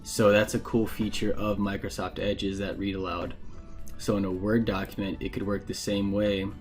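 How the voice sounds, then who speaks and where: Low, even-toned man giving a tutorial. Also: normal speed, soft background music. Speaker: instructor giving a software tutorial. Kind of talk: casual screen-share tutorial narration.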